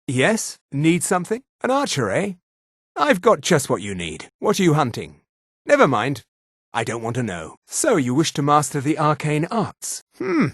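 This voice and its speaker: An actor playing a haughty male high elf townsman in a fantasy video game, speaking in clipped, theatrical exclamations. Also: wildly swooping pitch, normal speed, a bit bright, breathy edge, no room sound, studio quality, full character voice. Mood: cool, aloof. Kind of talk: video game character barks.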